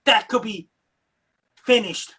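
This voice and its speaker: A man giving simple explanations of weather on a talk show, in halting, repetitive, earnest phrases. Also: high voice, bright, forceful tone, husky.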